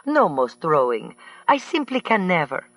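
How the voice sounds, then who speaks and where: A woman's voice: on the high side, lively intonation, a little slow, a bit bright, no echo, soft background music, voice pushed up. A fussy, gushing society woman with oddly tangled phrasing, put on by a male narrator. The voice sits well above his own and takes on a strange, altered tone. It is recorded dry and close. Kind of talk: audiobook character voice.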